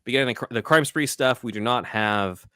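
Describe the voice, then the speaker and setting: A man's voice: medium pitch, brisk, sharp tone, clear, resonant tone, no room sound, studio quality. A man hosting a podcast, talking casually through a film scene by scene. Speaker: podcast host.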